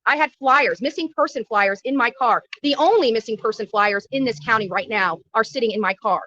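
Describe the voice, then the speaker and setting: High-pitched, fast-talking indignant woman activist. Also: pushed and bright, dry recording, distant mic, muffled recording. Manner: emphatic, indignant, repetitive phrasing. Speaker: YouTube livestreamer and missing-person activist being interviewed. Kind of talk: impromptu press interview. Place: at a county jail, press interview.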